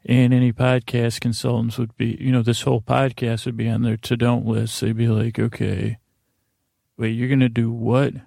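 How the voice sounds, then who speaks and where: A man hosting a bedtime podcast in a meandering, halting monologue, often stammering and interrupting himself in a self-deprecating way. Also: mid-range voice, little pitch movement, vocal fry, dark tone, no room sound, studio quality. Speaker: podcast host.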